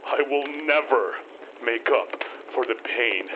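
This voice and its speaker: Actor doing a broken grieving dad, slow n halting, sombre, horror podcast, noisy background. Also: male voice, medium pitch, natural voice. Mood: guilty, resigned. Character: guilt-ridden, grieving father, resigned and self-punishing. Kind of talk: audio horror drama acting.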